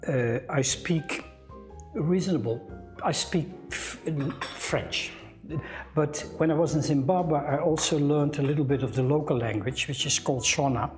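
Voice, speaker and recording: An older Dutch man talks over a meal as a cooking show guest, thinking aloud and restarting his phrases. Soft music plays behind him. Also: on the high side, a little slow. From Netherlands. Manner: thoughtful, many restarts and fillers. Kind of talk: casual conversation.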